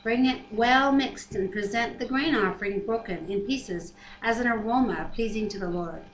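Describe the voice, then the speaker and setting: Woman, slightly high, in an echoey room. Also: normal speed. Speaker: Bible reader and co-host. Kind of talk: reading scripture aloud with casual commentary. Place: living room at home, indoors. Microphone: webcam at arm's length. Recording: roomy webcam sound, faint noise.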